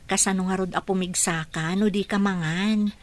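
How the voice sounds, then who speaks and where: A woman's voice: medium pitch, fairly even intonation, normal speed, slight background noise, close to an everyday voice. A woman playing a devoted, caring wife at a sickbed in a radio drama. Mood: caring, pleading. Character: devoted, caring wife nursing her sick husband. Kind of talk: Ilocano radio drama acting.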